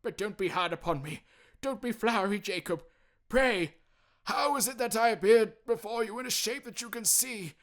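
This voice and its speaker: Nervous old male miser performed by a man, raised pitch, faltering, pleading, deferential, storybook acting. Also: normal speed, a bit bright. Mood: frightened pleading. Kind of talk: theatrical audiobook character acting.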